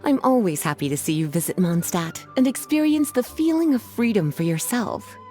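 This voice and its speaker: A woman's voice: medium pitch, sing-song, moderate pace, dark tone, natural voice. A woman voicing an elegant, playfully teasing young scholar in a video game, leisurely and coy, over music. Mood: warm, reflective. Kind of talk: scripted game dialogue acting.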